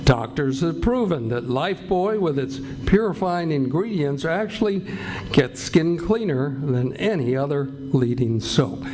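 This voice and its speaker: A man's voice: a little high, lively intonation, measured pace, a little mellow. A man working as an old-time radio announcer, reading scripted introductions and ads in a declamatory salesman's style.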